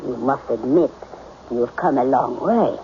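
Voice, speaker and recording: An actor playing a patient, reasoning doctor who gently questions a patient, in old-time radio drama style. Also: male voice, mid-range voice, measured pace, natural voice. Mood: patient, probing. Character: patient, probing male doctor counselling a patient. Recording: dull, noisy old radio broadcast.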